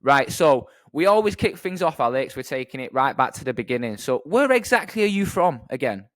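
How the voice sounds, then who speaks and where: A man interviews a guest in a casual, chatty style. His pitch is average and the sound is very dry. He is eager and informal, using plenty of slang. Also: very animated, brisk, fairly bright tone, no echo. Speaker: podcast co-host, interviewer. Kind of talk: casual chat.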